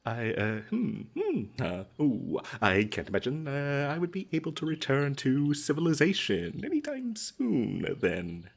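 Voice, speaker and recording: Nervous, stammering smooth-talking male adventurer, acted by a man. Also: mid-range voice, wildly swooping pitch, full character voice. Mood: nervous, evasive. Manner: halting, stammering, polite, nervous chuckles. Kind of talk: comedic fantasy audio roleplay acting.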